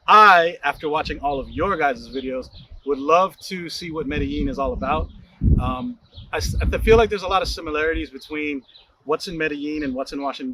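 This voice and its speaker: A man's voice: fairly high pitch, bright, forceful tone, recorded from a distance, a little hiss or hum. An American man talking easygoingly about where he would like to settle. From United States. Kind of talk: casual personal account.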